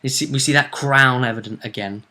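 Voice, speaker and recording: Casting: male game master, sharp bright tone, average pitch, casually narrating tabletop events in fragments. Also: a little fast. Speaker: game master recapping the session. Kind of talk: casual tabletop role-play recap.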